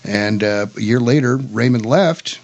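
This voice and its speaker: A man's voice: mid-range voice, a little slow, dull audio. An American man hosting a radio show, talking casually and making announcements.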